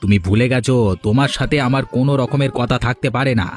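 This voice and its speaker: A suspicious rival villager in a cartoon, curt and hostile, as a man voices him. He fires his lines off quickly in a mid-range voice. Faint music plays behind him. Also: mid-range voice, close to an everyday voice. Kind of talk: dubbed cartoon dialogue. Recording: music in the background.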